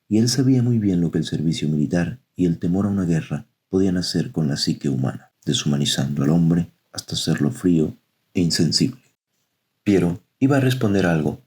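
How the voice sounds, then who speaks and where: A man's voice: low-pitched, darkish tone, slightly rough. A man narrating a scripted dramatic story as its storyteller.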